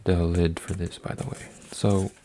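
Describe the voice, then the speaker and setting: Deep, flat-voiced young man rambling softly. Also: unhurried, noisy background. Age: 20s. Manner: meandering, full of fillers and restarts; folding paper while talking, drops to whispers. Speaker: ASMR creator. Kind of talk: soft-spoken rambling ASMR.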